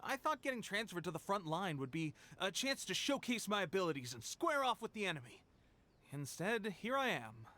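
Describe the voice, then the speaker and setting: An actor voicing an eager, proud young soldier in a video game, speaking in an earnest, declamatory way. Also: male voice, sits high, very animated, moderate pace, sharp tone, a little hiss or hum, full character voice. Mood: frustrated.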